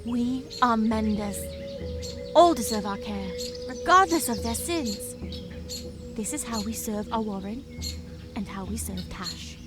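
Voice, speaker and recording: A woman playing a gentle, devout rabbit healer mentor, earnest and pleading, in a fantasy video game. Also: a little high, animated, a little slow, pauses often, over music. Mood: solemn pleading. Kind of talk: dramatic video game dialogue.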